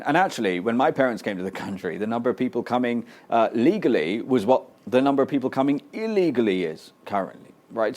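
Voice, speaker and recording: A man's voice: fairly low pitch, a little mellow. A British man, a politician answering interview questions earnestly and insistently, often repeating words as he presses his point. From Britain. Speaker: political guest.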